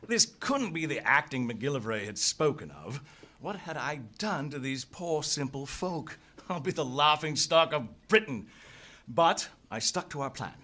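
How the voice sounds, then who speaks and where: A man's voice: medium pitch, sharp tone. An actor playing an earnest adventurer who narrates his own tale in a live radio play, emphatic and suspenseful.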